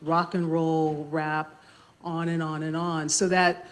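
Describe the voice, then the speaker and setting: A soft-spoken woman moderating a library panel discussion, speaking in a measured way with frequent pauses and ums. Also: sits low, little pitch movement. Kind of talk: panel discussion opening talk. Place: library event room. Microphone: handheld mic.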